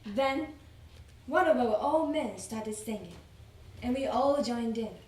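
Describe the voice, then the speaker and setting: A woman giving a theatrical, expressive reading of a wartime letter as part of a readers theater. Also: fairly high pitch, takes it slowly. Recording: roomy, distant mic, faint noise.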